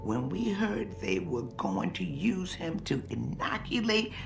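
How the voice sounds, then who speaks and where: An actress playing a kindly, forgetful elderly former nurse in a video game, breathy, quavering and wandering as she talks. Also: fairly low pitch, big pitch swings, takes it slowly, over music, exaggerated voice. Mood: haunted, remembering. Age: older. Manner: wandering, doddering.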